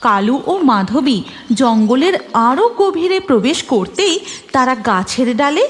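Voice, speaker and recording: A woman's voice: high-pitched, sing-song, a little slow, slight background noise. A woman narrating a scripted horror story for a cartoon in a dramatic storytelling style.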